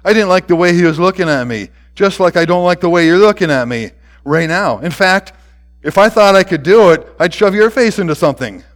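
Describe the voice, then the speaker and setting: A man's voice: fairly high pitch, fast talker, hardly any pauses, natural voice. A man voicing a defiant, emotional young boy rebel in a dramatic story reading. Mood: defiant, threatening. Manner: insolent, emotional, loud.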